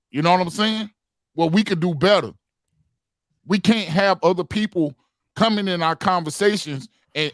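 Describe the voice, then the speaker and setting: A man's voice: on the high side, no room sound, studio quality. A man in his forties talking earnestly in a heated group podcast discussion, repeating phrases for emphasis. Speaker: podcast panelist.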